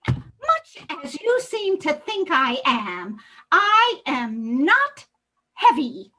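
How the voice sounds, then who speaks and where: A woman's voice: high voice, sing-song, drawn-out words, sharp tone, heavily acted. A woman playing a fussy, timid old lady in a comic readers theater piece, with a fluttery, genteel, easily upset manner. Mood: mildly offended. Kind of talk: comedic readers theater acting.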